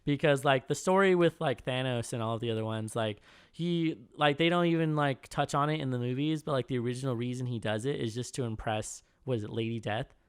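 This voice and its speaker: A man in his late twenties talks loosely and eagerly, stringing thoughts together with a steady stream of fillers. His voice is smooth and clear, and the sound is dry and close with no room around it. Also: medium pitch, a little fast, dry recording. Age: 20s. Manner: rambling, heavy on "like", animated retellings. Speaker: podcast co-host. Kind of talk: casual chat.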